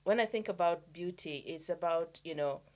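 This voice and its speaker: This is a woman from Uganda sharing her thoughts on a podcast. Her voice sits slightly low, and she speaks reflectively, halting often with fillers as she gathers her words. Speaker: podcast co-host. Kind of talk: casual chat.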